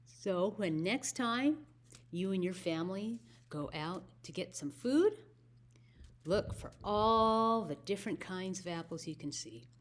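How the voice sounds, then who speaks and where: A woman with an American accent hosting a children's story time, speaking deliberately as if to young listeners. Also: medium pitch, lively intonation. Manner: slow, deliberate phrasing with questions to young listeners. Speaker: library story time host.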